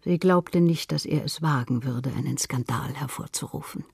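A woman's voice: a bass voice, normal speed, vocal fry, darkish tone, slightly rough, no room sound, studio quality. A woman reading literary prose as a scripted narrator.

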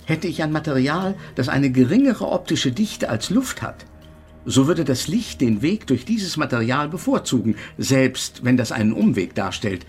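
An actor playing an invisible male physics professor in a German radio drama, haughty and exasperated, lecturing with pedantic precision in a husky voice. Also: medium pitch, very animated, moderate pace, darkish tone, lightly acted. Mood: lecturing, precise.

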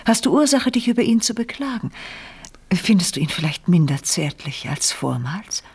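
French noblewoman, wounded pride, feigned candour, husky breathy tone, theatrical radio play diction. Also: on the low side, very animated, moderate pace, a little mellow, full character voice. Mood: anguished confession. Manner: theatrical, formal, breathy confessional delivery. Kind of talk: German radio play acting, period drama.

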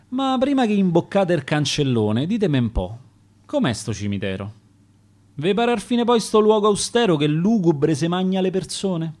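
A man with a Roman accent reciting his own rhymed sonnets in a measured, theatrical way for a radio broadcast. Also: a little high, darkish tone.

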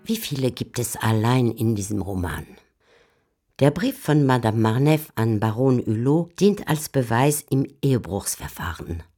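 Female literary narrator, composed and measured, scripted radio delivery over faint music. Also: extremely low pitch, very animated, warm tone.

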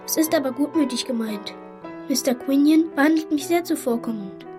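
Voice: high voice, brisk, lightly acted. A performer playing a polite, brave young working boy in a German radio play. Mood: brave, reassuring. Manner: polite, earnest. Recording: music playing behind the voice.

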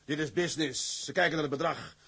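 Blustering, husky tugboat skipper. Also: male voice, fairly high pitch, quick pace, bright, forceful tone. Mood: defiant. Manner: blustering, cursing, emphatic. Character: hot-headed, stubborn Dutch tugboat skipper, proud and impatient. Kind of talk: radio drama acting.

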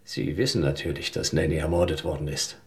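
An actor in a German radio drama playing a determined, controlled man suspected of murder, questioning tersely in a husky, gravelly voice. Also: rumbling low, fairly even intonation, fairly quick, darkish tone, heavily acted. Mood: pressing, menacing.

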